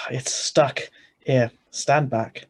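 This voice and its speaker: A man's voice: medium pitch, steady tone, drawn-out words. A man playing a skeptical, exasperated husband who also narrates, reading a radio drama script in a wry, sighing manner. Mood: impatient, sardonic. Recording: live video-call recording.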